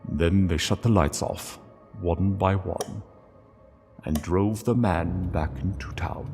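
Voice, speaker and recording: Deep, slow male story narrator in reverb. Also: creaky, a little mellow. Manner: solemn, measured, pausing between phrases. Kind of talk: dramatic scripted story narration. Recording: long reverb, music underneath. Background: music.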